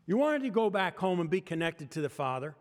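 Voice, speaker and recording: A man's voice: high voice, very animated, a little fast, long reverb tail. A man with an American accent preaching a sermon as pastor, talking conversationally and joking with the congregation. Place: church sanctuary.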